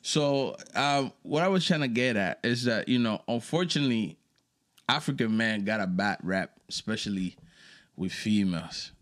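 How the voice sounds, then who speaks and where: A man's voice: lively intonation, moderate pace. Laid-back young man. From Dominican Republic. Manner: laid-back, swears freely, jumps between low mutters and loud laughing bursts. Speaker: podcast co-host. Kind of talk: casual chat.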